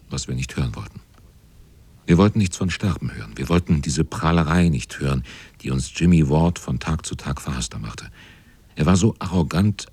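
An actor playing a sailor who narrates a radio drama in a grave, measured, brooding literary manner. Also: male voice, extremely low pitch, darkish tone, husky, no echo, exaggerated voice. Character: brooding sailor narrator looking back on the crew.

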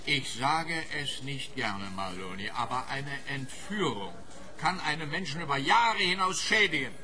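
A man's voice: medium pitch, animated, measured pace, sharp tone. An actor playing a pompous, pedantic policeman in a comic radio detective play, with a lecturing manner. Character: pompous, pedantic male police officer, self-satisfied.

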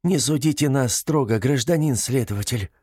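A man voicing a flustered, ashamed older woman who pleads haltingly in a breaking voice, in an audiobook. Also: mid-range voice, dark tone, husky, no echo, clean studio sound, pitched above the performer's own voice, close to an everyday voice. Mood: pleading, confessional. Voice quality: breaking, tearful voice.

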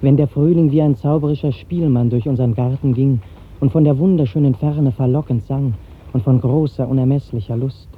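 A man's voice: mid-range voice, steady tone, fairly quick, husky, lightly acted. Man acting a dreamy young nobleman, muffled old radio. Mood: yearning. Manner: earnest, lyrical. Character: shy young nobleman poet, dreamy and yearning. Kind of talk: 1950s German radio drama acting.

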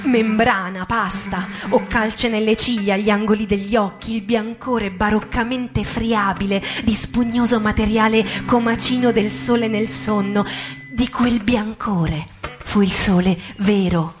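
Woman, medium-pitched voice, for radio-theatre poetry over clearly audible music; measured, grave phrasing with theatrical pauses. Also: fairly even intonation. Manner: solemn, deliberate phrasing with dramatic pauses. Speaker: performer reciting poetry. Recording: music under the voice.

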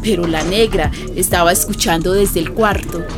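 An actress playing a plainspoken Colombian neighbour who recalls events in vivid, colloquial testimony for a radio drama. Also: female voice, fairly low pitch, moderate pace, close to an everyday voice. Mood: vivid recollection. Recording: music behind the voice.

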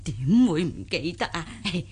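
A woman playing a simple, good-humoured old country granny in a radio drama, with a husky aged voice, folksy exclamations and hesitant musing. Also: on the low side, animated, normal speed. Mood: jolly, flustered. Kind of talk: Cantonese radio drama acting.

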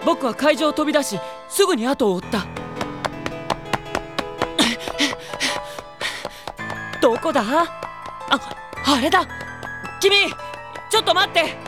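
Cocky, high-voiced young boy idol. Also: lively intonation, normal speed, over music. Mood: agitated, demanding. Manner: boastful, earnest, dramatic. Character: proud, self-absorbed young male pop idol. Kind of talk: anime audio drama acting with first-person narration.

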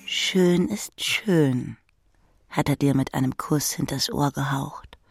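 Casting: literary narrator, husky with vocal fry, intimate second-person delivery. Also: mid-range voice, slow pace, dry recording, clean studio sound.

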